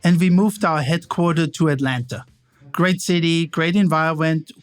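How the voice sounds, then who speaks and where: High-voiced Austrian-accented man. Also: steady tone, moderate pace, clean tone, faint music behind. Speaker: stadium operations chief. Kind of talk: interview remarks.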